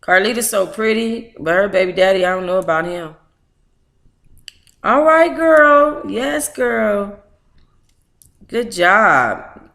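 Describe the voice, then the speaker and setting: A woman's voice: mid-range voice, lively intonation, slow pace, gravelly, long pauses, a bit bright. A middle-aged woman hosting a book club livestream, chatty and candid, with colloquial asides as she talks and reads aloud. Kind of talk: casual livestream chat with reading aloud. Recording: roomy, echoing room sound.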